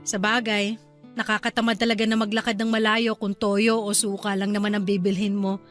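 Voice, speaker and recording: A woman's voice: mid-range voice, level tone, a little fast, faint music behind, natural voice. A woman playing an affectionate, chatty young wife and new mother in a Filipino radio drama. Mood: gentle, relieved.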